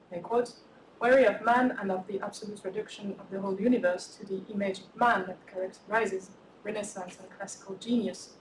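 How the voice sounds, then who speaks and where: French-accented woman presenting in an echoey hall. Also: medium pitch. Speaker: conference speaker. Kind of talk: academic paper presentation. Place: lecture room. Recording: echoey lecture hall, distant mic, noisy.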